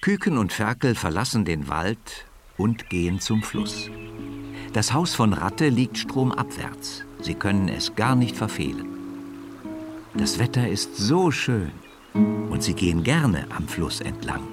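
Children's story narrator: man, low voice, hugely varied intonation, music behind him. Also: unhurried. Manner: expressive storytelling.

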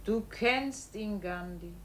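An actress playing a calm, otherworldly inner voice that summons a man, solemn and measured, in a radio play. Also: mid-range voice, natural voice. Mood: solemn, beckoning. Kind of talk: German radio play acting. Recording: noisy old radio play recording.

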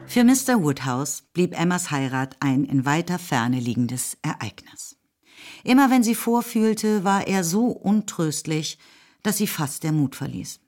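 A woman's voice: deep voice, sing-song, a little slow, darkish tone. A woman narrating a radio play in a scripted, literary storytelling style.

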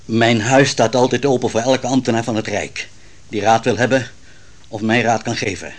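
A man's voice: mid-range voice, steady tone, moderate pace, close to an everyday voice. A man acting a proud, troubled royal counsellor defending his wife in a Dutch radio play, formal and theatrical. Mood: proud, agitated.

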